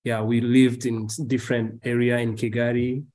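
Halting Rwandan-accented young man. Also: medium pitch, flat delivery, darkish tone. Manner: hesitant, halting with many fillers. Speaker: guest. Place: video call.

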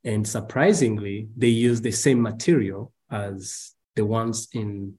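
A young man from Rwanda with a Rwandan accent, speaking as a podcast guest over a video call and pausing often with fillers. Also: on the low side, fairly even intonation, dark tone. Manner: hesitant, halting with many fillers.

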